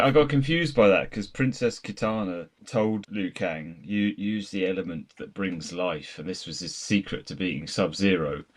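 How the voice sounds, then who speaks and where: A man in casual film banter as a co-host, animated and incredulous in his way of talking. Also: low-pitched, husky. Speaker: podcast co-host.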